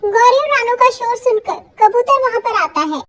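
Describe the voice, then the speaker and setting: A woman's voice: very high-pitched, expressive, moderate pace, very noisy. A woman narrator reading a scripted children's story.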